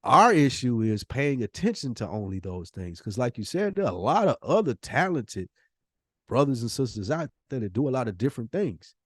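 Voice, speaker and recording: A man's voice: medium pitch, big pitch swings, moderate pace, husky, no room sound. Casual, emphatic American man, dry close sound. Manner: emphatic, colloquial, slangy. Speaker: podcast co-host. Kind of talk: casual opinionated conversation.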